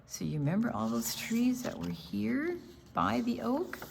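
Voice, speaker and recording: A woman reading a picture book aloud to young children as a storytime reader, with deliberate, expressive delivery and casual asides about the pictures. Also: fairly high pitch, vocal fry, a little hiss or hum.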